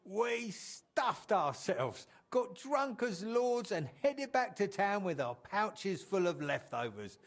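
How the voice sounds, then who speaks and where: An actor voicing a chatty medieval townsman in a video game, telling colloquial, animated tales of old times with fondness. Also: high voice, takes it slowly, few pauses, heavily acted. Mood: amused storytelling. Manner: animated, colloquial storyteller acting out quotes. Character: chatty medieval townsman reminiscing fondly about an old drinking friend. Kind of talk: storytelling in video game dialogue.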